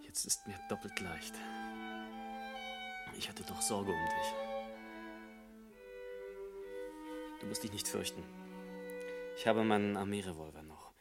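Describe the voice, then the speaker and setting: A man's voice: lowish voice, normal speed, pauses often, over loud music, close to an everyday voice. An actor playing an embittered, despairing jobless man of thirty in a German radio drama, brooding and sarcastic. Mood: grave, tender. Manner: bitterly sarcastic, brooding, emphatic.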